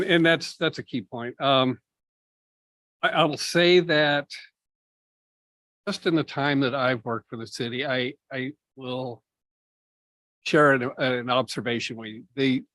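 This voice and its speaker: A city staff member explaining budget items at a public meeting, measured and explanatory, with many fillers. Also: male voice, mid-range voice, fairly bright tone, no room sound. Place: meeting room.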